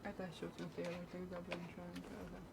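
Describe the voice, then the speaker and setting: A woman's voice: lowish voice, level tone, racing, vocal fry. A young woman doing casual livestream game commentary, muttering to herself with mild exasperation. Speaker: game streamer. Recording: very noisy stream with game sound.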